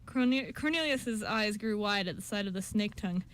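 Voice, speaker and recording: A woman's voice: mid-range voice, expressive, moderate pace. A woman reading scripted story narration for an amateur radio show, with an occasional stumble.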